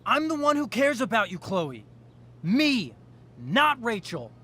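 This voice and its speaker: Intense teenage boy with wildly swinging pitch. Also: big pitch swings, a little slow, breathy edge, full character voice. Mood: agitated, desperate. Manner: insistent, earnest, intense. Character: possessive, obsessive, self-righteous teenage boy. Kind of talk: dramatic video game voice acting.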